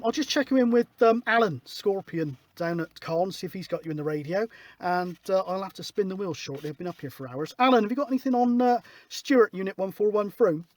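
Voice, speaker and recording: High-pitched man on CB radio. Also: no echo. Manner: informal, matey. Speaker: CB radio operator, host. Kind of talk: casual radio chat. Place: outdoors at a hilltop spot, mobile radio setup.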